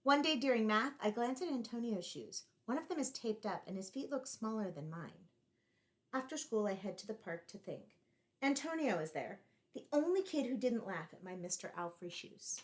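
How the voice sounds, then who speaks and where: A woman reading a picture book aloud to children in an expressive, deliberately phrased storytelling style, like a teacher. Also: fairly high pitch.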